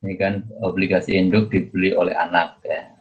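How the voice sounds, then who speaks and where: Low-voiced man lecturing haltingly. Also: little pitch movement. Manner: halting, repetitive, punctuates phrases with a checking tag. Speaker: accounting lecturer. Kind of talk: explanatory lecture. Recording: dull, muffled lo-fi audio.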